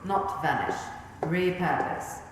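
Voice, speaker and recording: Casting: commanding rebel colonel, low register, slow grave delivery, sci-fi audio drama. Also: female voice. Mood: resolute. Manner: clipped, grave, authoritative. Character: stern, weary female rebel colonel, commanding and principled. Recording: echoey hall, clear background noise.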